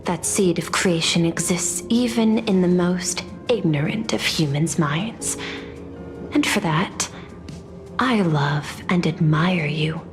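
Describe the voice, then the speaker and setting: An actress playing an imperious, otherworldly noble lady in a video game, speaking in a measured, solemn, regal manner. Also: on the low side. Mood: solemn, lofty. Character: imperious, otherworldly noble lady, cool yet admiring of humans. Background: background music.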